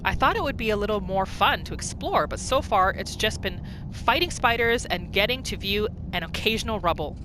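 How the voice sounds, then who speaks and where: A woman's voice: mid-range voice, animated, fairly quick, few pauses. A woman giving casual game commentary on her roleplay playthrough, thinking aloud and exclaiming readily. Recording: clear noise behind the voice.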